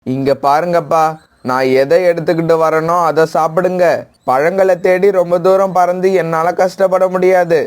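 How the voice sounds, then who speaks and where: Man as a lazy young bird, highish voice. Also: fairly even intonation, normal speed, lightly acted. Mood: dismissive. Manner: dismissive, blunt. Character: lazy, selfish young male bird, curt with elders. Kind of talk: acted children's moral story cartoon.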